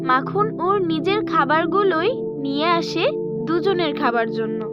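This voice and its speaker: A woman narrating a children's cartoon story in an expressive storytelling manner. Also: very high-pitched, moderate pace, muffled recording, loud music behind the voice.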